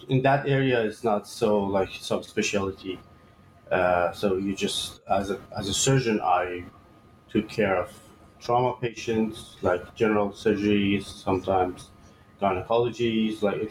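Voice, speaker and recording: Hesitant low-voiced man in an echoey room. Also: a little mellow, slight background noise. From Iran. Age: 30s. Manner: hesitant, many fillers and restarts. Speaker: guest surgeon. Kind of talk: interview answers.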